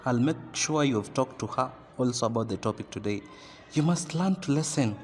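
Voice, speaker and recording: An actor with a Kenyan accent playing a stern class teacher who lectures and scolds in a halting, emphatic way in an amateur radio drama. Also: male voice, medium pitch, lively intonation, close to an everyday voice. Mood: scolding. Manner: admonishing, emphatic, halting delivery. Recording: noisy, very reverberant room.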